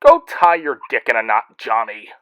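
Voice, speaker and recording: A man's voice: medium pitch, huge pitch swings, moderate pace, a bit bright, clear, resonant tone, no echo. A man hosting a scripted comedy segment and reading out listener messages in a sarcastic, snide manner.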